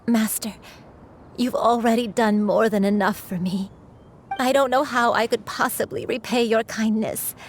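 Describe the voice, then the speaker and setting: A woman voicing a gentle elderly grandmother, a crane in human form, speaking tenderly in a game cutscene. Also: on the high side, steady tone, slight background noise, lightly acted. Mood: grateful. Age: older. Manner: tender, slow and soothing.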